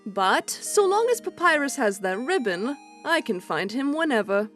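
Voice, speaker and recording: A woman's voice: high voice, very animated, normal speed, a bit bright. A woman voicing a watchful, detached messenger in a comic dub, musing aloud in a conversational way. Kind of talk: amateur comic dub monologue. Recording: music bed under the voice.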